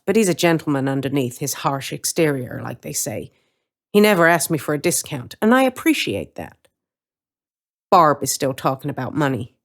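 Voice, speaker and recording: A woman reading a first-person story in character, with a wry, streetwise, colloquial manner. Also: sits low. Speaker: story reader.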